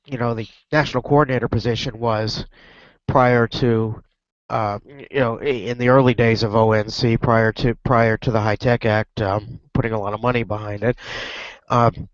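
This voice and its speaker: A man's voice: medium pitch, dry recording. Stuffy-voiced man in a dull dry recording. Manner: rambling, many restarts and fillers. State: stuffy from a cold. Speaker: reporter. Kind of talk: casual web broadcast chat. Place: airport lounge.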